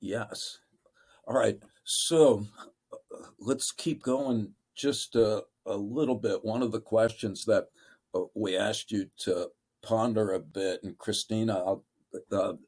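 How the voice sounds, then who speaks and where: Slow, lowish-voiced male moderator. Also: fairly even intonation, darkish tone. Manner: halting, careful, roundabout phrasing. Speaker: panel moderator. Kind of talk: unscripted moderating of a panel.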